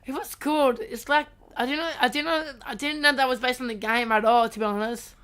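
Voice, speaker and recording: A woman's voice: sits high, fairly even intonation, fairly quick, fairly bright tone, clean tone. A woman co-hosting a podcast in casual chat, talking in short, choppy phrases with repeats and restarts. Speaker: podcast co-host. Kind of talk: casual chat about video game movies.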